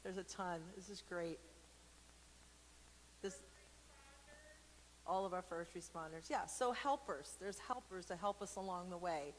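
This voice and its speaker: A woman hosting a casual read-aloud for kids, playful and expressive, talking straight to viewers with informal, encouraging asides. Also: lowish voice, measured pace, long pauses, in a large hall, rough recording. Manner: playful, expressive, with silly sound effects and asides. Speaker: storyteller and church program host.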